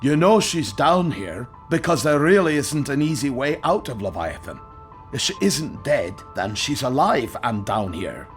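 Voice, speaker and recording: Gruff barkeeper, sardonic, folksy, animated intonation, sci-fi audio drama, light music bed. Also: male voice, mid-range voice, measured pace. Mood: matter-of-fact, knowing. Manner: sardonic, folksy, colorful turns of phrase. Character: gruff, wry male barkeeper, an immortal trading favors.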